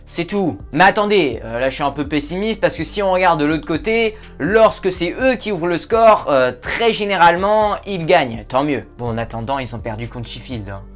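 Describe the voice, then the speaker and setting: A man's voice: a little high, expressive, normal speed, hardly any pauses, faint music behind. A man hosting a casual football talk show, chatting and joking with viewers, with frequent hesitations. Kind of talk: casual football commentary vlog.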